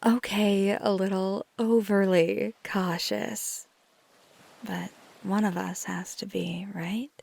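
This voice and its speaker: A woman playing a caring, flirty female vampire lover in an intimate ASMR roleplay, speaking tenderly and reassuringly in a breathy, husky half-whisper. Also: medium pitch, flat delivery, slow, darkish tone, heavily acted. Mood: gently teasing. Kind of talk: ASMR roleplay, intimate one-sided dialogue.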